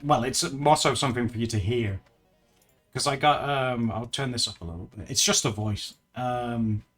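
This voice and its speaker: A man with a lively, swooping voice chats with his viewers through a live drawing stream. He is talkative and giggly, laughs often and trips over his own sentences. Soft music plays faintly behind him. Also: medium pitch. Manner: chatty and giggly, with frequent laughs and restarts. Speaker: livestreamer. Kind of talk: casual livestream chat with viewers while drawing.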